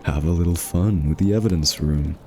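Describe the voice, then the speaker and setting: A man's voice: sits low, brisk, a little mellow, slight background noise. A male voice actor playing a smug, flirty thief in an ASMR roleplay, teasing and drawling with suggestive innuendo. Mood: scheming, confident. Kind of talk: ASMR roleplay, scripted character acting.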